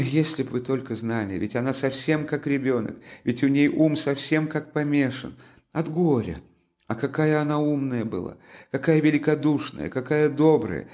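A man's voice: medium pitch, a little mellow, pitched above the performer's own voice, natural voice. A timid young woman from a storybook reading, her voice lifted well above a man's natural register and breaking into anxious, pleading bursts. A man puts her on as he reads aloud. She sounds fragile and earnest. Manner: pleading, anxious, breathless outbursts. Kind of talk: audiobook character reading.

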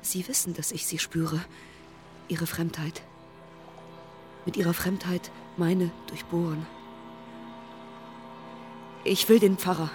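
Slow, halting woman, estranged and withdrawn. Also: fairly low pitch, steady tone. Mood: uneasy, haunted. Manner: terse, halting, sparse phrasing. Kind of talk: stylized radio play acting. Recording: studio radio drama, faint music.

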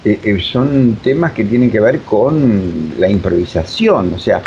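Mellow low-voiced Argentine man, dull recording. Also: expressive. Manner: halting, repeats words, filler-laden. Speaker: interview guest, radio drama director. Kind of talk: spontaneous explanatory interview talk. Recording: dull lo-fi audio, clear noise.

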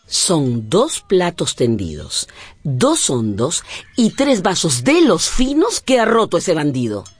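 A woman's voice: low voice, wildly swooping pitch, slow, husky, full character voice. A woman acting an exasperated, bossy mother who scolds and exclaims in a radio drama. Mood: aggrieved.

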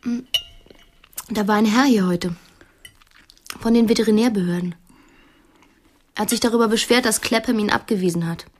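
An actress playing a strictly raised, quietly cunning wife who feigns naivety in a radio play, speaking hesitantly with pointed innocence. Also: female voice, medium pitch, moderate pace, long pauses, close to an everyday voice. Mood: feigned innocence. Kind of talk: radio play acting in dialogue.

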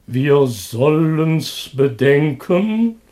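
An actor playing a pompous citizen in a comic Greek chorus, declaiming solemnly in a classic radio play with some noise on the recording. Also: male voice, a little high, big pitch swings, extremely slow, dark tone, full character voice. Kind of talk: classic German radio play of an ancient comedy.

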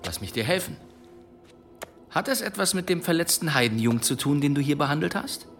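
An actor playing a shrewd, zealous medieval demon hunter in a German audio drama, probing and pointed, with archly polite irony. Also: male voice, a little high, animated, fairly quick, a bit bright, soft background music. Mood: probing, needling.

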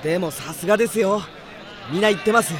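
Actor as high-voiced, eager young mage. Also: male voice, fairly quick, a crowd in the background, close to an everyday voice. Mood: admiring. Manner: earnest, polite, emphatic. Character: eager, devoted boyish young male mage. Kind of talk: Japanese radio drama acting.

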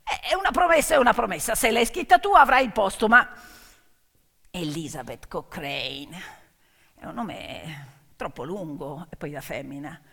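A woman's voice: lowish voice, expressive, a little slow, fairly bright tone, in a large hall, voice pushed down, heavily acted. A woman storyteller voicing an amused, condescending male newspaper editor in a stage monologue. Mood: amused, yielding.

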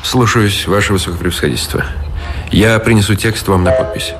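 A man's voice: on the low side, brisk, a bit bright, slight background noise. A man playing a dutiful, deferential military aide in a radio drama, formal and respectful in manner. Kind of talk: Russian radio drama acting.

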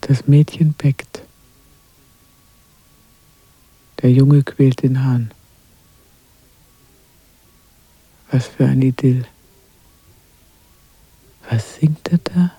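A man acting a witch's brooding, tormented inner voice in a hushed, poetic radio play monologue, dark and husky. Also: mid-range voice, steady tone, takes it slowly, dry recording, low-quality recording. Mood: bitter longing.

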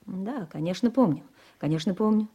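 Soft, husky, coaxing wife. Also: female voice, mid-range voice, moderate pace. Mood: reassuring. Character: judge's soothing, gently teasing, devoted wife. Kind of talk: Russian radio play acting.

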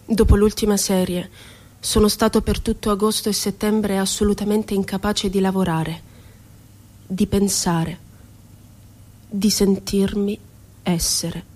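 A woman's voice: mid-range voice, little pitch movement, darkish tone, natural voice. Woman as grave, despairing tormented male poet. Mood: hollow despair. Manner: slow, grave, declaimed.

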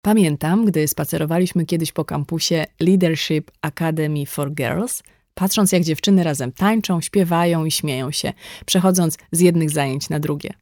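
A woman's voice: low-pitched, moderate pace, a little mellow, dry recording, clean studio sound. A woman reading a conversational non-fiction audiobook in a scripted narration style. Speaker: audiobook reader.

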